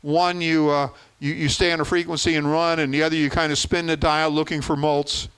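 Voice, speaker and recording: A man in his seventies presents to a club in an informal, explanatory way. His voice is smooth and clear, pitched a little high for a man. He is folksy and plain-spoken, leaning on repeated words for emphasis. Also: flat delivery, fairly quick, fairly bright tone. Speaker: contest organizer giving a presentation.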